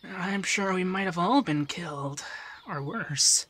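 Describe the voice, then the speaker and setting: A man voicing an affectionate, teasing femboy monster companion in a soft, breathy, shyly stammering ASMR roleplay. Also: high voice, takes it slowly, heavily acted. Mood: upbeat, earnest.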